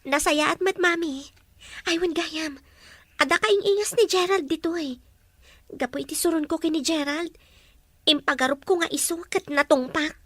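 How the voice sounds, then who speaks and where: A woman's voice: high voice, moderate pace, long pauses, fairly bright tone, dry recording. A woman with a Filipino accent acting an apologetic, heartbroken young woman in an audio drama. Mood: sheepish.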